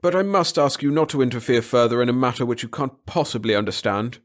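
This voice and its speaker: A man's voice: mid-range voice, fast, lightly acted. A man voicing an intense middle-aged biologist in an audiobook, formal and insistent. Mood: urgent, formal. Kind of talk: dramatic audiobook character reading.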